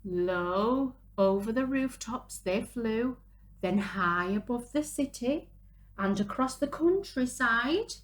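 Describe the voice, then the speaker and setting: A woman in her thirties reads a children's picture book aloud. Her voice sits fairly high and swings widely in pitch. She takes her time, dramatising each phrase for small listeners. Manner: animated, dramatic gasps and drawn-out exclamations. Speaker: children's storyteller, early years presenter. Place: small room at home, indoors.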